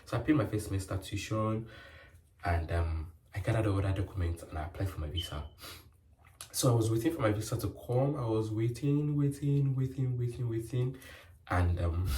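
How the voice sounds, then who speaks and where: A man's voice: fairly low pitch, lively intonation, moderate pace, dark tone, slight background noise. A young man with a Nigerian accent, chatting casually in a rambling vlog story full of fillers. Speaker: nurse vlogger. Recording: roomy sound from a distant mic.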